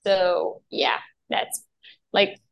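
Slow-speaking Serbian woman, laughing often. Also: medium pitch, vocal fry. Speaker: actress guest. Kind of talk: video call interview.

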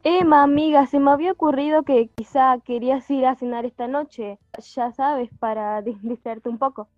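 A woman's voice: high-pitched, normal speed, lightly acted. A woman playing an affectionate, nosy best friend in an amateur radio play, with an Argentine accent. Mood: friendly. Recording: tinny phone-like sound, music behind.

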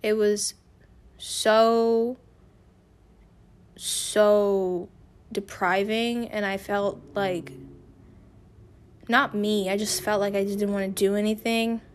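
Young female podcast host, a bit raspy from illness, candid rambling delivery. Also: mid-range voice, flat delivery, slow pace, pauses often. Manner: candid, rambling, with frequent restarts. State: raspy from feeling unwell. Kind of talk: casual personal podcast monologue.

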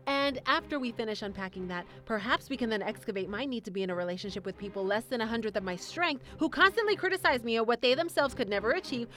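A woman's voice: on the high side, a bit bright. An actress playing a haughty, indignant superhero girlfriend in an audio sitcom, with a condescending, biting tongue. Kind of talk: sitcom audio theatre acting.

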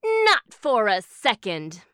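A woman's voice: fairly high pitch, wildly swooping pitch, slow pace, very noisy, full character voice. A woman playing a bubbly, affectionate aunt who speaks fondly and with emphasis. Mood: fond, wry. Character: bubbly, affectionate aunt, former game show champion.

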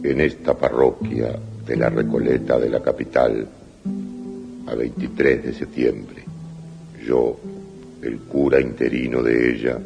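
A solemn priest reads formally in an extremely deep, flat, gravelly voice. It is an old radio drama with a dull sound and faint music. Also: male voice, a little slow, full character voice. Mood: solemn, official. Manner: formal, monotone. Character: solemn parish priest reading a burial record. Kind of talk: 1980s radio drama acting.

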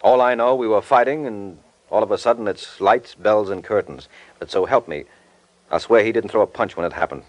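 An American man playing a suave, cocky private detective in an old radio drama, with a wry, unhurried wisecracking manner. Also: fairly low pitch, lightly acted.

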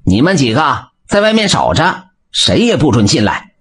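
A man voicing a spoiled, bullying young rich man in a storybook tale, with a raspy voice. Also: huge pitch swings, moderate pace, a bit bright, full character voice. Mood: arrogant. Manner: shrill, arrogant, later whining.

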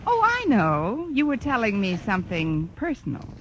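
An actress playing a sardonic, wisecracking English teacher in an old radio comedy. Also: female voice, medium pitch, wildly swooping pitch, normal speed, a bit bright. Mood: wry. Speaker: schoolteacher. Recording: dull old radio broadcast, noisy.

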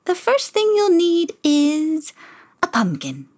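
A woman voicing a gentle, scatterbrained older fairy godmother with a kindly, fussing manner. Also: high voice, wildly swooping pitch, slow pace, pitched above the performer's own voice, full character voice.

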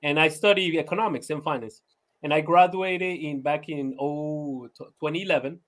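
A man's voice: fairly high pitch, moderate pace, a bit bright. Laid-back Venezuelan-born man, chatty. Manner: laid-back, laughs often, many "you know" fillers and restarts. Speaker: podcast guest. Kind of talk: casual interview chat.

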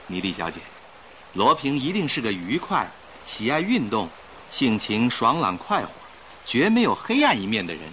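An actor playing a suave, confident young gentleman with an emphatic, persuasive delivery in a Chinese radio drama. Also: medium pitch, wildly swooping pitch, normal speed, a little hiss or hum, low-quality recording. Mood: admiring, animated. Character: suave confident young gentleman passenger, admiring a famous thief. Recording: radio drama with faint music behind.

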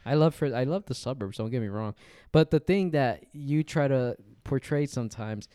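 A young man hosts a loose, joking podcast chat and keeps teasing his guest. His voice is smooth and mellow. It sounds close and dry. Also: mid-range voice, moderate pace, no room sound. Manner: joking, teasing, laughs often. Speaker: podcast host.

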